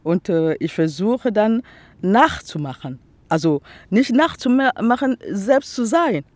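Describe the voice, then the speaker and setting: A woman with a Congolese accent talks slowly and haltingly in a dry, close-sounding recording. Her first language is Teke, and she gropes for words in German, leaning on fillers. She is outdoors by a lake, chatting as the interviewee. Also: medium pitch, big pitch swings, a little mellow, dry recording. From Republic of the Congo. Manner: halting non-native German, searching for words with many fillers. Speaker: artist, interviewee. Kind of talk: casual interview chat.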